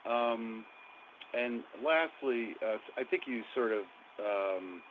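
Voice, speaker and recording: A man calling in as a financial analyst, asking questions on an earnings call with hesitant pauses mid-question. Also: mid-range voice, animated. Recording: tinny phone line with faint noise.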